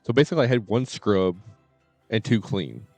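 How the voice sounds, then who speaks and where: Guy streaming, lowish voice, just rambling casually w some swearing, quiet music in back. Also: big pitch swings, moderate pace, no echo. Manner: informal, mildly cursing, repetitive "you know". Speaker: livestreamer. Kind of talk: casual rambling livestream chat. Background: faint game music and dialogue.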